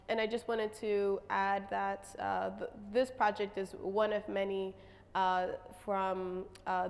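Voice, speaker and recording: A woman artist presenting her work on a stage, her voice slightly raspy, talking with many pauses and fillers. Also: medium pitch, long reverb tail. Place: stage in a large hall.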